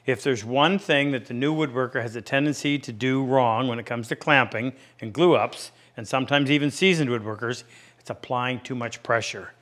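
Middle-aged man teaching woodworking, mid-pitched voice. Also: normal speed, fairly bright tone. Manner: plain-spoken, practical advice-giving. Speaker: woodworking instructor and host. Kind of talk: hands-on instructional demonstration, unscripted explanation. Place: indoor woodworking workshop.

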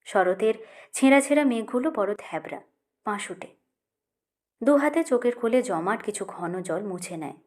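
A woman's voice: medium pitch, animated, moderate pace, pauses often, a little mellow. A woman reading a literary short story as an audiobook narrator.

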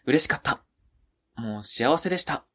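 A man with a middling pitch addresses listeners informally, like an amateur streamer. He is humble and apologetic, thanking people effusively. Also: animated, normal speed, fairly bright tone. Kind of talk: informal cast comment to listeners.

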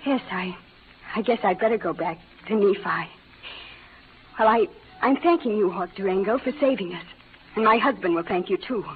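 A woman acting an earnest young frontier wife in a 1940s radio western, speaking in a halting, emotional, pleading way. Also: mid-range voice, animated, lightly acted. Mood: tearful gratitude. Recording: old noisy radio recording, phone-like band.

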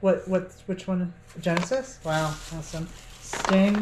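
A woman, a vlog host, chatting casually and reading titles out loud. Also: fairly low pitch, fairly even intonation, unhurried. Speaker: host, vintage reseller. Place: cluttered room indoors at home. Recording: echoey room, distant mic, faint noise.

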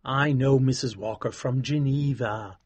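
A man voicing a reserved, polite young gentleman in an audiobook, slightly raised from his own voice. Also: mid-range voice, measured pace, slightly rough, no room sound. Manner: polite and restrained. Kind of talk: audiobook character voice.

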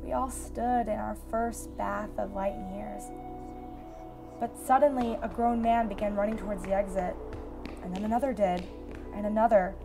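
An actress playing a wistful disaster survivor in a radio drama, recalling the past in a measured, reflective way. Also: female voice, medium pitch, steady tone, creaky, music in the background, close to an everyday voice. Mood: solemn. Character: female asteroid-disaster survivor recalling shelter life, wistful. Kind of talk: radio drama acting as interview testimony.

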